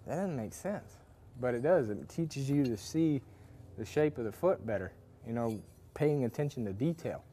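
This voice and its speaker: A man's voice: medium pitch, animated, normal speed, warm tone, husky. Folksy man teaching horseshoeing. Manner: folksy, rambling, lots of fillers and restarts. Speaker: farrier instructor. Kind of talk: casual hands-on demonstration talk. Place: farrier workshop with forges.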